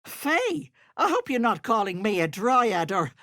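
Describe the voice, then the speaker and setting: Sweet old Irish auntie, folksy and fussing, slow lilting voice, dry studio sound. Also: female voice, a little high, sing-song, a bit bright, no echo. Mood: cozy, motherly. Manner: folksy and fussing, calling people love. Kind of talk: video game voice acting.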